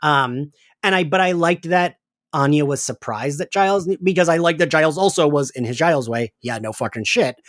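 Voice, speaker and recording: A man's voice: fairly high pitch, fairly quick, dry recording, studio quality. A man co-hosting a podcast in casual chat, speaking emphatically with some swearing and restarted sentences. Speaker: podcast host. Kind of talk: casual chat, recapping an audio drama.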